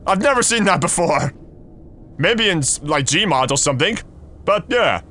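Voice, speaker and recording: A man commentating on a horror game as he plays, jokey and excitable, his voice husky and deeper than usual from being sick. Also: normal speed. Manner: jokey, excitable, yelps and giggles. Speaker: gaming YouTuber.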